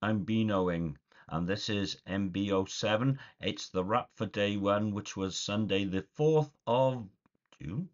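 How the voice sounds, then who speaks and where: A man's voice: lowish voice, a little slow. A man in his forties, a little nasal from a cold, chatting casually through a video diary in a small room, rambling with lots of ums. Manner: chatty, rambling, filler-heavy. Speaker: vlogger. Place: small dim room indoors. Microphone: webcam at desk. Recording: dull lo-fi webcam audio.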